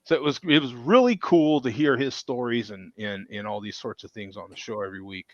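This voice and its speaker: A man's voice: mid-range voice, brisk, dry recording, dull audio. A man hosting a casual chat show in a folksy manner, often repeating himself as he talks. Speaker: show host.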